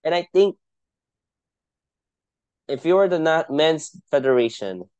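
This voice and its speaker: A man's voice: fairly high pitch, expressive, darkish tone, clear, resonant tone, dry recording, dull audio. A man with a Filipino accent, whose first language is Tagalog, hosting a solo sports podcast and mixing English and Tagalog with frequent restarts. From Philippines. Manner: switches between English and Tagalog, halting with restarts and fillers. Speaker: podcast host.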